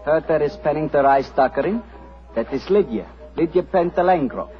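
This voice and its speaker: A man's voice: a little high, lively intonation, normal speed, lightly acted. An actor playing a grave, guarded Romany man with formal, stilted phrasing, in 1940s radio style. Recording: muffled old radio drama, music.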